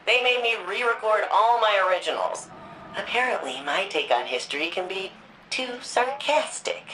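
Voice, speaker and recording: An actress playing a proud, wry city archivist in a sci-fi video game, articulate and expressive. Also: female voice, lowish voice, background noise. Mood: irritated, sardonic. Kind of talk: video game cutscene acting. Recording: roomy echo with faint background ambience.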